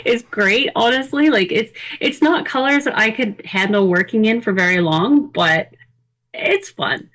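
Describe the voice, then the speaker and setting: A woman's voice: a little high, expressive, a little slow, a bit bright. A woman co-hosting a podcast, giving casual, opinionated reviews in an informal conversational way. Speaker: podcast co-host. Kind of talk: casual product review chat.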